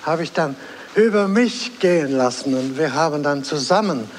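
Halting, higher-pitched man in old interview. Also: expressive, a little hiss or hum. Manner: halting, searching for words. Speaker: artist recalling a collaborator. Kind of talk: spontaneous interview reminiscence.

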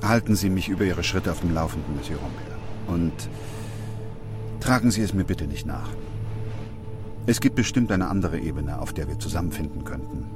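An actor playing a sober, dutiful doctor who also narrates a radio drama, in a measured and restrained manner. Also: male voice, low-pitched, lively intonation. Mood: restrained, firm. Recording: music behind the voice. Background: music.

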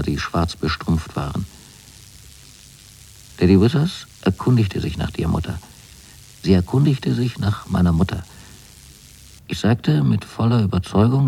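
An actor playing a naive, wryly fastidious son in a radio drama, telling of his new life in measured, precise, deliberate phrases. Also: deep voice, vocal fry, mellow, husky, full character voice, rough recording. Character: young man writing letters to his mother, wryly fastidious and naive. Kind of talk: literary radio drama monologue.

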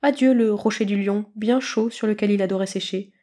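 Expressive woman narrating a story. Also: medium pitch, fairly quick. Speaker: narrator, podfic reader.

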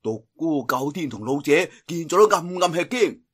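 A man's voice: on the high side, expressive, normal speed. A man with a Cantonese accent narrating a martial arts tale in a theatrical, colloquial storytelling style. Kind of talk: dramatic scripted wuxia story narration.

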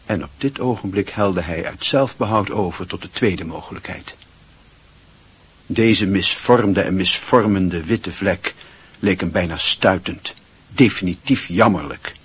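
A deep-voiced, very husky narrator of a literary radio drama. He reads in a brooding, dramatic storytelling style. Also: a little slow, fairly bright tone, full character voice. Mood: grave, intense.

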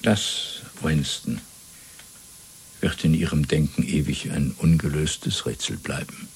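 Husky, cold party interrogator. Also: male voice, extremely low pitch, steady tone, exaggerated voice. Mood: menacing. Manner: measured, condescending, evasive, authoritative. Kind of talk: 1970s German radio play acting.

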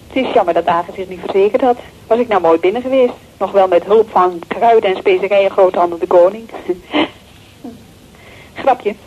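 A woman's voice: mid-range voice, moderate pace, natural voice. An actress in a radio play as a chatty, teasing, scheming female office associate with a playful manner. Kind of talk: Dutch radio play acting. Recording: dull lo-fi telephone scene, faint noise.

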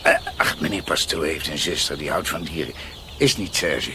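An actor playing a genial, frank country doctor and uncle in a Dutch radio drama, conversational and avuncular. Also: male voice, extremely low pitch, normal speed, very noisy. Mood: kindly, curious.

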